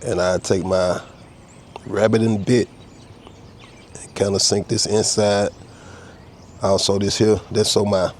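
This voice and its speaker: A man's voice: sits low, a little hiss or hum. A man with a head cold, casually explaining a car repair step by step as he works outdoors. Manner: easygoing, talks while working, explains each tool. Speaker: custom car restorer demonstrating a repair. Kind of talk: casual step-by-step how-to narration.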